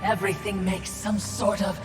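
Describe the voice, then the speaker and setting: A woman's voice: mid-range voice, level tone, normal speed, lightly acted. A woman playing a fawning, insinuating spirit servant in a video game, theatrical and servile. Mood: gratified. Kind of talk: video game cutscene acting. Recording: echoing sound, faint music.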